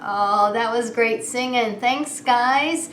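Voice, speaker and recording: A woman's voice: on the high side, takes it slowly, fairly bright tone. A woman preschool teacher hosting story time, talking straight to young children with simple questions in a playful, singsong way. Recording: roomy echo, clear background noise.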